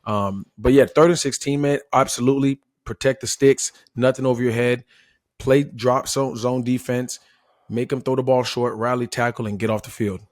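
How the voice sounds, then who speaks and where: A man's voice: mid-range voice, fairly even intonation, moderate pace, no echo, studio quality. A former player working as an analyst, a man casually explaining football tactics and often checking in with a tag question. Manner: explanatory, seeks agreement with frequent "right?".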